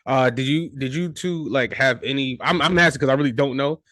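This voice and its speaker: A man's voice: medium pitch, fairly quick, bright, forceful tone, no room sound, studio quality. A man hosting a casual podcast interview, talking loosely with many restarts and fillers. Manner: loose, restarts often, lots of "you know". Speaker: podcast host.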